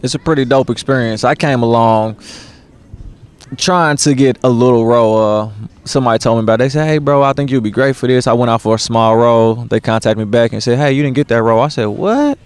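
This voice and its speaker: A man's voice: medium pitch, a little fast, clean tone. A young man, an actor, chatting casually in a laid-back way with slang and many uhs. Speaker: stage actor. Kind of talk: casual interview.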